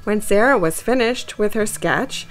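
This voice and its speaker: A woman's voice: medium pitch, animated, a little slow, low-quality recording. A woman reading a children's story aloud and hosting a kids' story channel. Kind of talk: animated read-aloud storytelling for young children.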